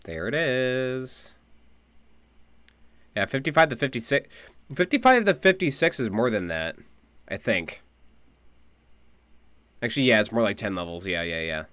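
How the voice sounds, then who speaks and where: A laid-back game streamer with a medium-pitched voice. The delivery is casual live commentary, made up of short muttered asides and reactions with some swearing. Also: expressive, unhurried, long pauses, fairly bright tone.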